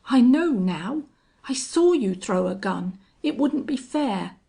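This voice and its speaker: A blustering young ranch heir in a western audiobook, acted out by a woman. The voice is pushed higher and husky, excitable and stammering, full of cowardly defiance. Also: slow, full character voice. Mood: frightened pleading. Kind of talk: audiobook character acting.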